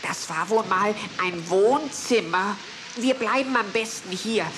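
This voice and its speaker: A man's voice: high-pitched, a little slow, background noise. A man playing a young, boyish elephant in a children's audio drama, earnest, sensible and reassuring. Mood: calm, reassuring. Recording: roomy echo on 1980s cassette drama.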